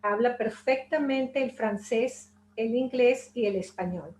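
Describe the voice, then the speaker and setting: Chatty higher-voiced woman host. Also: a little slow, a little mellow. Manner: chatty, many fillers. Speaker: host, hypnotherapist. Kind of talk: casual webinar introduction. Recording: echoey room, faint noise.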